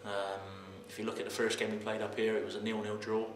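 A footballer in his thirties gives a post-match interview in a low, flat voice. He talks haltingly, often repeating words and pausing on "um". The sound is roomy with a faint noise behind. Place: press room in front of sponsor board. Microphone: camera mic, head and shoulders framing.

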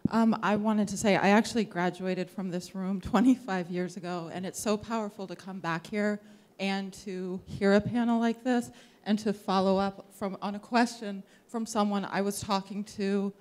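A woman's voice: mid-range voice, steady tone, normal speed, a little mellow. A middle-aged woman, a teacher, making an informal comment from the audience. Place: large theatre hall.

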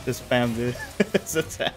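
A man's voice: mid-range voice, slow pace. Laid-back, giggly man streaming a game. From California, USA. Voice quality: laughs often, relaxed and chatty. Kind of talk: casual gameplay commentary. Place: home room. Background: faint game music.

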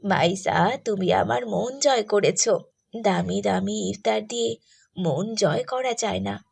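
Woman as a gentle old cartoon grandmother. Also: mid-range voice, slow, creaky. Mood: grateful, approving. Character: kindly, devout old grandmother. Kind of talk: cartoon voice acting.

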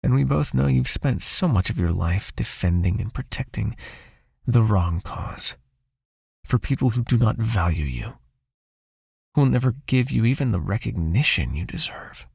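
A man playing a gravelly, seductive vampire master. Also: lowish voice, lively intonation, no echo, clean studio sound, exaggerated voice. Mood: possessive, hypnotic. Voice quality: breathy, gravelly, murmuring. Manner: slow, coaxing, intimate, softly commanding. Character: seductive, possessive male vampire master, hypnotic and condescendingly tender. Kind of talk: ASMR hypnosis roleplay.

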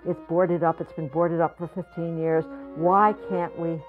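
A woman's voice: lowish voice, level tone, measured pace, phone-quality sound, soft background music. An older woman, a potter, talking reflectively in an interview, often searching for words and repeating herself. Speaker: potter and art school founder. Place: studio or home with pottery shelves.

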